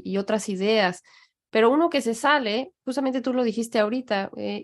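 Mexican woman, podcast host, fairly high voice, reflective, animated. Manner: reflective, animated, speaks in long flowing thoughts. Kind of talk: casual conversational chat. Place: living in New York.

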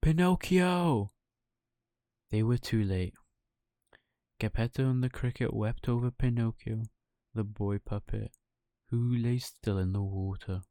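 A man's voice: fairly low pitch, fairly even intonation, measured pace, warm tone, no room sound. A man reading a children's bedtime story as its narrator.

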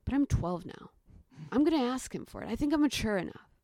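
A woman in her thirties from the United States, a storyteller guest who chats casually and then tells a personal story in the present tense, with lively quoted voices. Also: fairly high pitch, fairly quick, no room sound, studio quality.

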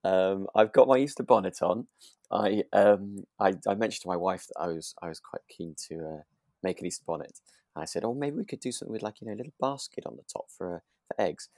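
A man's voice: low-pitched, a little fast, dark tone, no room sound, clean studio sound. A British man co-hosting a podcast, chatting casually and enthusiastically with frequent restarts. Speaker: podcast co-host. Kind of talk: relaxed casual chat between friends. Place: remote internet recording, dry room.

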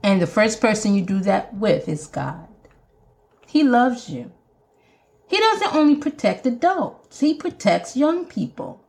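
A woman's voice: fairly high pitch, sing-song, measured pace, gravelly, darkish tone. A woman with a Jamaican accent hosting a podcast, talking earnestly and emphatically like a sermon. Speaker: podcast host. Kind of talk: preaching podcast monologue.

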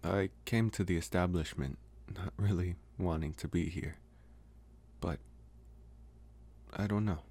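A man playing a lonely, gentle young college vampire in an intimate ASMR roleplay, speaking softly and haltingly with pauses. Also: low-pitched, fairly even intonation, breathy edge, exaggerated voice. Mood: reflective, hesitant. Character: lonely, soft-spoken young male college vampire, politely devoted. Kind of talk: ASMR roleplay, intimate one-sided dialogue.

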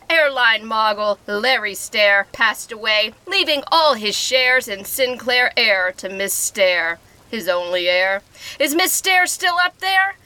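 Woman acting a pushy, bright-voiced news reporter. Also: a little high. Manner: insistent, rhyming rapid-fire questioning. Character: pushy, nosy female television news reporter. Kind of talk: comic noir radio play dialogue.

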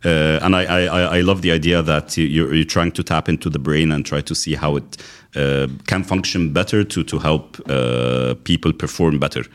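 Very deep-voiced hesitant male host. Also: steady tone, moderate pace. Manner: hesitant, many uh fillers and repeated words. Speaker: podcast host. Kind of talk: interview conversation.

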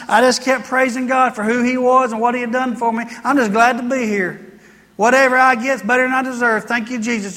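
Forceful American woman preacher in reverberant hall. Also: fairly high pitch. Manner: emphatic, repetitive, exhorting. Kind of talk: sermon to a congregation.

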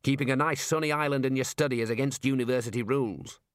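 A man's voice: medium pitch, steady tone, quick pace, clear, resonant tone, dry recording, clean studio sound, close to an everyday voice. A man voicing a grumbling, self-indulgent older male wizard with a sardonic manner.

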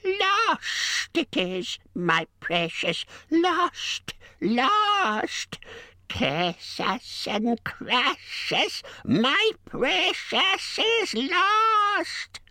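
A man voicing a wretched, spiteful cave creature for a storybook, with a strangled, hissing voice and drawn-out, repetitive muttering. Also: very high voice, big pitch swings, pushed and bright, no echo, studio quality, voice pushed up, full character voice. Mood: wailing, despairing. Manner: drawn-out, repetitive, muttering to himself in odd grammar.

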